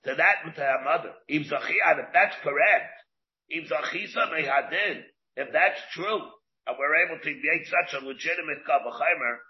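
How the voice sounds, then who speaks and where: Rabbi giving a Talmud class, slightly high voice, muffled lo-fi recording. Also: male voice. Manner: halting, restarts and asks questions aloud, answers students. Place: classroom with students.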